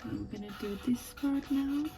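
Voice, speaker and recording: A young woman with a Persian accent chatting softly while she draws, thinking aloud as she goes. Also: a little high, measured pace, vocal fry. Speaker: drawing video creator. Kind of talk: casual chat while drawing. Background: faint music and marker scratching.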